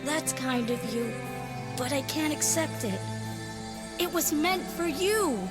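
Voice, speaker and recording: Very high-pitched kind little boy. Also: lively intonation, slow. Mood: kind, reluctant. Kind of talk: video game cutscene acting. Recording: loud music under the voice.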